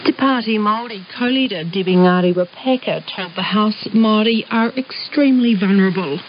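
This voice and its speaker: A woman's voice: medium pitch, fairly quick. A woman with a New Zealand accent reading a scripted news report on the radio. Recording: dull hissy shortwave radio broadcast.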